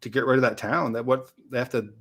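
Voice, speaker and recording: An American man in relaxed, unscripted conversation as a geography creator. He has a mid-pitched voice and thinks out loud, letting some thoughts trail off. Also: fast. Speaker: YouTube geography creator in a two-way interview. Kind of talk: casual unscripted chat.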